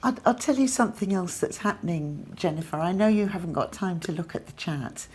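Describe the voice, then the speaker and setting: A woman's voice: lowish voice, expressive, fairly quick, a little mellow, husky. A woman co-hosting a live stream, chatty and upbeat as she passes on viewer comments. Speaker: co-host. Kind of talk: live-stream chat with guests.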